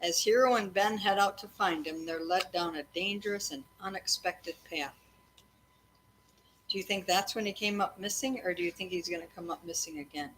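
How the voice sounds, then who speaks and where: A woman reading a children's book aloud to kids in a storytelling style, pausing to chat and ask them questions. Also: lowish voice, a bit bright, slight background noise, poor audio. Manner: breaks off to address and question children.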